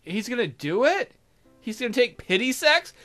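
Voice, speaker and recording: A man's voice: very high voice, sing-song, moderate pace, fairly bright tone, faint music behind. A man hosting a let's play, reading a visual novel aloud and breaking off to add irreverent, amused comments.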